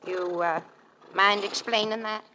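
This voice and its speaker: A woman's voice: medium pitch, steady tone, unhurried. A woman playing a stubborn older ranch matriarch in a radio drama, blunt and bossy, with a rural Western American accent. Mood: curt, wary. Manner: blunt, forceful, folksy. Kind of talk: 1940s-50s radio western acting. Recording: noisy vintage radio recording with clicks.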